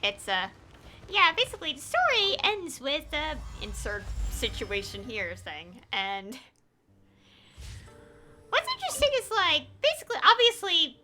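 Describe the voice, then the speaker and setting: A woman's voice: high-pitched, huge pitch swings, unhurried, noisy background. A young woman chatting casually as she streams a game, rambling and hesitantly thinking aloud. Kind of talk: casual live gameplay chat. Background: game music.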